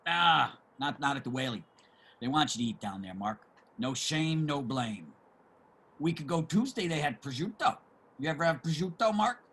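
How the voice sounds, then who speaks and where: A man playing a streetwise homeless man in a play reading, generous and opinionated, with salty, emphatic street talk and a husky voice. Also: fairly high pitch, animated, normal speed, fairly bright tone. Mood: exasperated, boastful. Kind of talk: dramatic table reading of a stage play.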